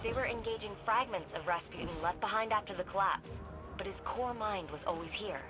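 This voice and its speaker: An actress voicing a confident woman researcher guiding the player in a game, with a brisk, briefing tone. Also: mid-range voice, music in the background, lightly acted. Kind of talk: video game dialogue.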